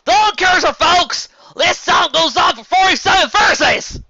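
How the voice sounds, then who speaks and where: A man voicing a blunt, exasperated female country pony from a storybook, loudly heckling. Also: very high voice, expressive, a little slow, dull audio, pitched above the performer's own voice, exaggerated voice. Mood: exasperated shouting. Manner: blunt, loud.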